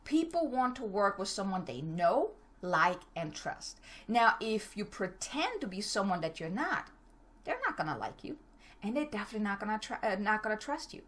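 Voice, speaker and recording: A middle-aged woman from Germany with a German accent, giving a motivational talk as a business coach. She talks fast, direct, and stumbles over her words. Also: medium pitch, very animated, a bit bright.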